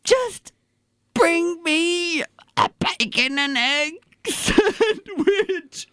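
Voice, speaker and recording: A man playing a miserable hungover man in a comedy audio drama, groaning and whimpering in halting phrases. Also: very high voice, lively intonation, sharp tone, dry recording, studio quality, voice pushed up, full character voice. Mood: miserable, pleading.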